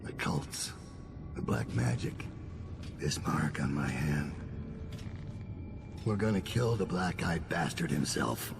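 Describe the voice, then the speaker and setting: A man playing an old, ailing master assassin in a video game, grave and terse, with a gravelly voice. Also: very deep voice, expressive, takes it slowly, pauses often, over loud music, full character voice. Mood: grim resolve. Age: older. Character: old, sick, regretful master assassin, grim and resolute. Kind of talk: dramatic video game acting.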